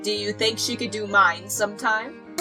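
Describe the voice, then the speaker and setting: A woman voicing a regal, playful pony princess aunt with a husky voice and a measured delivery, in a cartoon audio drama. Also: medium pitch, steady tone, close to an everyday voice. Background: music.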